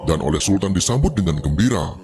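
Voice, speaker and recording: A man's voice: sits low, lively intonation, fast talker, heavy background noise. A man reading a scripted narration in the style of legendary storytelling.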